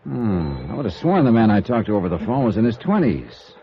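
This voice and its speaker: An actor playing a cocky, wry freelance insurance investigator in clipped 1950s radio noir style. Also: male voice, on the low side, sing-song, fairly quick, a little hiss or hum. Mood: wry, casual. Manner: wry, clipped hard-boiled delivery. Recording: dull vintage radio recording.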